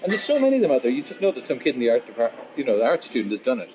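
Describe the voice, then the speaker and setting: A man of fifty, a musician chatting casually and philosophically in an interview, blunt and sweary, rambling into animated asides. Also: medium pitch, racing, a little hiss or hum, poor audio. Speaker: musician and guitar teacher being interviewed.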